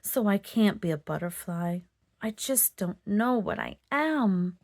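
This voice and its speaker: A woman's voice: medium pitch, animated, a little slow, creaky, a little mellow, dry recording. A woman voicing a tiny young female winged horse in a children's storybook, sad and earnest as she searches for who she is. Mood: tearful, bewildered. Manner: plaintive, earnest.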